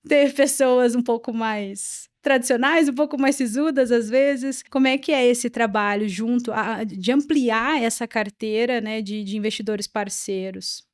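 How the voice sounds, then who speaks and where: A woman's voice: a little high, unhurried, hardly any pauses. A woman hosting a podcast interview, asking questions in a conversational way and chiming in with agreements. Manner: conversational, chimes in with short agreements. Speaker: podcast host.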